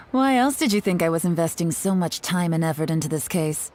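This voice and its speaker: A woman's voice: on the low side, animated, normal speed, a little mellow, a little hiss or hum, lightly acted. A woman playing a cool, shrewd young secret operative in a video game, composed and sly with a wry, teasing edge. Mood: calculating.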